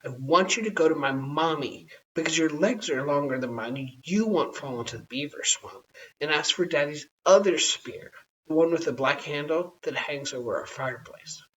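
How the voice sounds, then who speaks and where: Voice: mid-range voice, normal speed, fairly bright tone, lightly acted. An adult storyteller voicing an eager, chatty, polite little girl in a children's storybook. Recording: dull, hissy, distant home recording.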